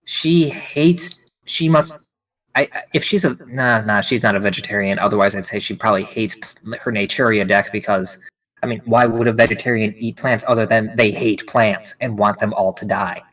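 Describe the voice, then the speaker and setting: A man playing in a tabletop roleplay, chatting casually and joking with lively jumps in his voice. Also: distant mic, low-quality recording. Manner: animated, jokey, quick pitch jumps. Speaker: player.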